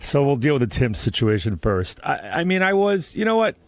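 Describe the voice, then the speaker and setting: A man's voice: medium pitch, sing-song, brisk, dry recording. A man on a radio show arguing in an aggrieved, insistent way. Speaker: radio show staffer and guest. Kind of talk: heated on-air argument.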